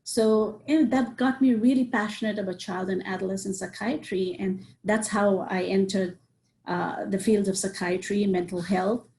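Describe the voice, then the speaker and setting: A woman's voice: medium pitch, steady tone, normal speed, darkish tone, slight background noise. A woman, a psychiatrist answering interview questions earnestly and listing points with many "you know"s. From Nepal. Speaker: psychiatrist guest.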